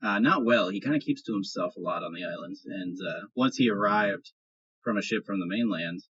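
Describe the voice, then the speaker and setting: A man narrating a tabletop game as game master, improvising in a halting way and repeating phrases. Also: on the low side, fairly bright tone, husky, lo-fi sound.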